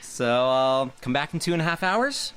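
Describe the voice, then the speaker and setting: An actor playing a charming, eager, optimistic young theater dreamer in an audio drama, with a playful, glib, upbeat manner. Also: male voice, mid-range voice, very animated, moderate pace, a bit bright, slight background noise. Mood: cheerful, cheeky.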